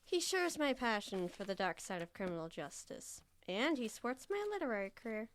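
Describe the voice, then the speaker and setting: A young true-crime obsessive in an audio drama. She is nosy and wry, pitched a little high, leaning on key words with theatrical relish. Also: very animated, a little fast. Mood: insistent. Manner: wry, insistent, theatrical emphasis. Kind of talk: live audio drama acting.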